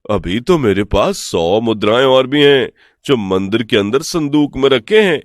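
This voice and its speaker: A greedy, easily fooled village priest as a man acts him in an animated folk tale. The voice leaps about in pitch and is dry and close. He sounds excitable and credulous. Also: unhurried, dry recording, natural voice. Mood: eager, confiding.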